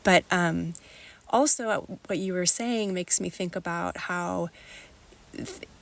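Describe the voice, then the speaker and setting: A woman's voice: on the low side, takes it slowly, creaky. A woman hosting a podcast interview, responding in a casual, thinking-aloud way. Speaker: podcast host.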